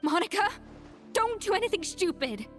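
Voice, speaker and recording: An actress playing a brisk, assertive young woman in a video game, speaking emphatically and with urgency. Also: extremely high pitch, very animated, faint music behind. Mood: exasperated, urgent.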